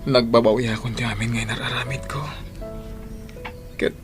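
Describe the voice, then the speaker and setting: An actor playing a remorseful young father in an Ilocano radio drama, speaking earnestly and haltingly with emotion. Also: medium pitch, flat delivery, natural voice. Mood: remorseful, pleading. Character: remorseful young father pleading for a second chance. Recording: music bed behind the voice.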